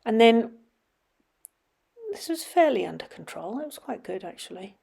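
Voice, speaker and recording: A middle-aged woman with a slightly sniffly, runny nose, casually commenting over videos of her children riding, with frequent ums and some quiet murmured asides. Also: fairly high pitch, sing-song, normal speed, gravelly, slightly rough. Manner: chatty, many ums, drops to quiet murmurs while watching. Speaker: vlogger.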